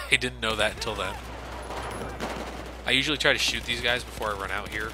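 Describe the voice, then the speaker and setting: A man's voice: lowish voice, a little fast, noisy background. A man giving casual, joking, sarcastic commentary as he plays a video game, swearing freely. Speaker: Let's Play commentator playing the game. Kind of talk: casual gameplay commentary with profanity. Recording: game gunfire behind the voice. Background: video game gunfire and ambience.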